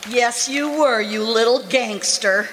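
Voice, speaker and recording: Bright, high-voiced radio-comedy wife played by a woman. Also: slow, slight background noise, low-quality recording. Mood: wry, scolding. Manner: wry, exclamatory, scolding affectionately. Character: fond, teasing housewife in old-time radio comedy. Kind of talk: 1940s radio comedy acting.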